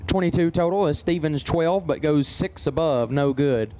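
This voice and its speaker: A man's voice: a little high, normal speed, darkish tone, slight background noise. A man working as a drag racing announcer, calling matchups and results in terse, clipped phrases. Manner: terse, clipped number-heavy delivery. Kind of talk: race commentary with clipped results calls.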